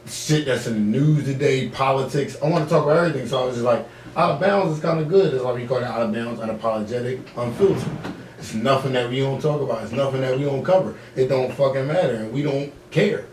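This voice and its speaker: A man hosting a casual podcast, talking in animated, profane slang. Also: medium pitch, fast talker. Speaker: podcast host. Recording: roomy, echoey, distant mic, background noise.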